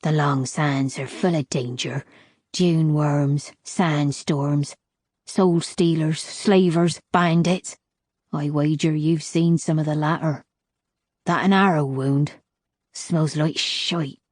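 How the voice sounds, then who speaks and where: A woman voicing a wrinkled, foul-mouthed old desert crone with a deep, gravelly voice. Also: fairly even intonation, slow, darkish tone, dry recording, clean studio sound. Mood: blunt. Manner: swears freely, blunt.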